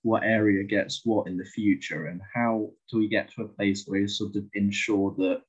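A man with a low, slightly husky voice puts a question to the panel. He sounds hesitant and keeps restarting his sentences. The audio comes through a dull, lo-fi video call. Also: fairly even intonation, moderate pace. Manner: hesitant, full of "sort of" and restarts. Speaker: audience questioner.

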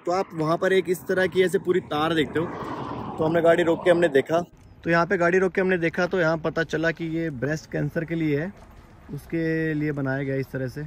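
A man's voice: on the high side, flat delivery, brisk, slight background noise. A man, chatting informally to viewers as a travel vlogger. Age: 30s. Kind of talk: casual vlog narration to camera. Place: moving between car, house and busy outdoor streets. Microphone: phone at arm's length. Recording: phone recording with music behind.

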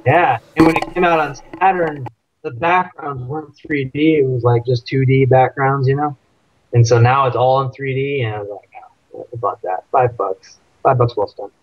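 A man's voice: mid-range voice, moderate pace, no echo. A man in his thirties, a stunt actor and fight choreographer, talking casually and self-deprecatingly as a guest on a video-call interview from a room at home. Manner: rambling, self-deprecating, laughs often. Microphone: webcam on video call. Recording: dull lo-fi call audio.

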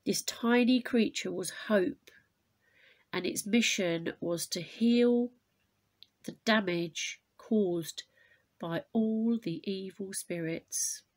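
A woman teacher reading a children's picture book aloud expressively, with deliberate, dramatic emphasis on key words. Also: mid-range voice, a little mellow. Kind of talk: expressive storybook read-aloud.

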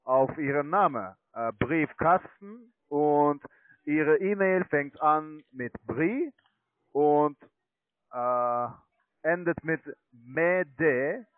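Man, telephone sales pitch, non-native broken phrasing, hesitant, slow, tinny phone audio. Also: dry recording. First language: not German. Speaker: telemarketing caller, online trading account manager. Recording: tinny narrowband phone line.

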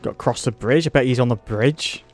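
A man's voice: mid-range voice, fairly quick, faint music behind. A man with a British accent giving casual, wry commentary while playing a game and laughing at it. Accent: British English. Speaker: let's play host.

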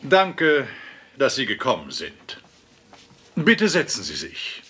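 An actor in a German sitcom dub playing a stern, condescending school principal who lectures in a formal, pompous manner. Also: male voice, mid-range voice, huge pitch swings, slow, fairly bright tone, breathy edge, exaggerated voice.

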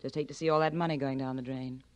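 Brisk, flirtatious social secretary. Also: female voice, very deep voice, steady tone. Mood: brisk, flirty. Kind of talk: 1940s radio acting.

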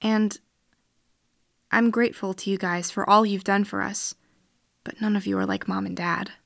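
A woman's voice: mid-range voice, steady tone, moderate pace, vocal fry, a bit bright, no echo, studio quality. A woman playing an earnest, questioning girl raised in space, in a science fiction audio drama.

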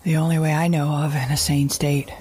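A woman's voice: low voice, little pitch movement, brisk, loud music behind the voice, close to an everyday voice. An actress playing an anxious but defiant mother surviving a wild world in an audio drama monologue, fretful and questioning herself in broken phrases. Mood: agitated, anxious. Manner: fretful, self-questioning, fragmented, repeating herself. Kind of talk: audio drama monologue, recorded-journal narration.

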